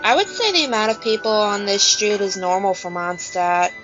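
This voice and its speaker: A woman's voice: medium pitch, big pitch swings, moderate pace. A woman casually reacting and commenting as she plays a video game. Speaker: gamer commentating. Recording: slightly muffled, game music behind.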